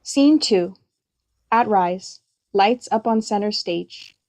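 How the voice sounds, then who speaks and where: Mellow woman reading stage directions. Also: mid-range voice, slow. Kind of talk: scripted narration.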